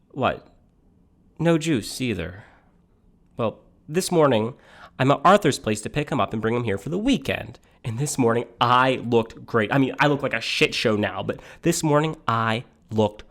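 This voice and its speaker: Flustered, catty jilted man, swooping in pitch, acted. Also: fairly bright tone. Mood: indignant, distraught. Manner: rambling, incredulous, campy complaining. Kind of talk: theatrical comic monologue.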